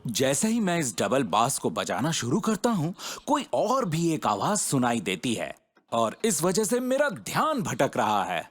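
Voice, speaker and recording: A man voicing a friendly, thoughtful male reindeer in a children's cartoon, who ponders aloud with drawn-out hums. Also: medium pitch, huge pitch swings. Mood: pondering, puzzled.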